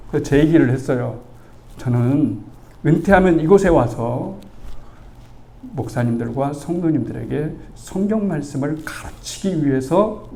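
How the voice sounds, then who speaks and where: A gravelly, mellow-voiced man in his sixties preaches as a pastor. He tells a personal story in a chatty way, acting out the dialogue and turning to the congregation for agreement. His voice sits somewhat high for a man. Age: 60s. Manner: conversational, asks the congregation for agreement; animated storytelling, acts out the dialogue. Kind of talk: storytelling sermon.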